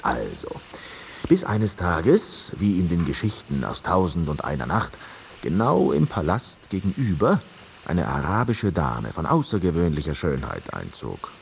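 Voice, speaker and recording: A man's voice: deep voice, wildly swooping pitch, measured pace, gravelly, slight background noise, heavily acted. An actor in a radio drama playing a talkative, curious and teasing man who tells stories in a theatrical, ironic way. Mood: storytelling. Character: talkative, curious, teasing male host telling an old tale. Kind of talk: German radio drama, dialogue and storytelling.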